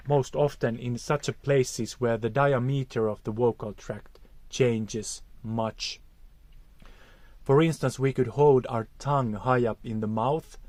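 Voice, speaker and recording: A man's voice: mid-range voice, a little mellow, dry recording. A man with a Finnish accent teaching vocal technique, explaining acoustics in a careful, hesitant way. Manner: careful, hesitant, searching for words.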